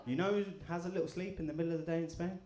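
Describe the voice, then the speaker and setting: A man doing a stand-up comedy set, deadpan and crude, bantering with the crowd. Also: on the high side, racing. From England. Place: comedy club in front of a live audience. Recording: echoey club room. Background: audience laughter.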